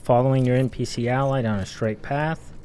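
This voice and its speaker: A man's voice: mid-range voice, steady tone, a little fast, darkish tone, slight background noise. A man giving informal, exasperated and sarcastic commentary as a video game critic, swearing freely. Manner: sarcastic, exasperated, foul-mouthed. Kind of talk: informal analysis commentary over gameplay.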